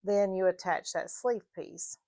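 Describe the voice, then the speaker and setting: A slightly hoarse woman with a Southern US mountain accent, explaining her sewing project in a casual, halting tutorial with fillers. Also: medium pitch, dark tone. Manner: halting, with frequent fillers and restarts. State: a little hoarse. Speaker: sewing vlogger.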